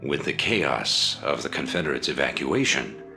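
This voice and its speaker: A stern, deep-voiced rebel leader. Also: male voice, expressive, gravelly, bright, forceful tone, soft background music, exaggerated voice. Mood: commanding. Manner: formal, measured, authoritative. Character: stern rebel leader, middle-aged man, commanding. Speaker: rebel commander giving orders. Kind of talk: video game cutscene dialogue.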